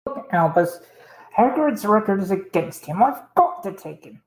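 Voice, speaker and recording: A woman's voice: medium pitch, expressive, moderate pace, close to an everyday voice. A woman playing a flustered, officious male government minister in an audio drama, speaking in clipped, uneasy phrases. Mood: uneasy, official. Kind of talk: fan audio drama acting. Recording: roomy, echoing voice recording.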